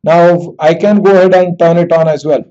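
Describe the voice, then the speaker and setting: A man with an Indian accent demonstrating dictation software, speaking slowly and deliberately as if to a computer. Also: a little high, fairly even intonation, highs cut off. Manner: slow, deliberate dictation with spoken punctuation. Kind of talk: screen-recorded software tutorial with dictation.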